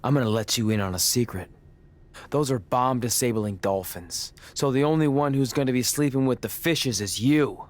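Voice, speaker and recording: A man playing a cocky rookie who improvises action-hero lines in a deadpan tough-guy way for a video game. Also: mid-range voice, moderate pace, natural voice. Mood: mock-heroic. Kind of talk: video game cutscene acting.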